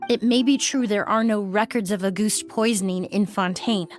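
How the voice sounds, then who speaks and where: A woman's voice: medium pitch, moderate pace, soft background music, lightly acted. An actress playing an astute, principled young woman investigator in a video game, speaking formally and articulately. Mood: stern deduction.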